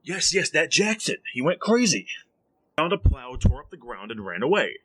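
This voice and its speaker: A man's voice: fairly high pitch, sing-song, moderate pace, a bit bright. A man playing an irritable, scolding middle-aged man obsessed with his plants, in 1940s radio drama style. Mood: blustering, exasperated. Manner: scolding, impatient, sputtering.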